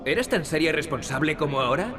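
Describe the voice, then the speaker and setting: Man voicing a wry young adventurer, lively pitch swings. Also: fast, lightly acted. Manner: sardonic, teasing. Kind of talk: video game dubbing in Spanish.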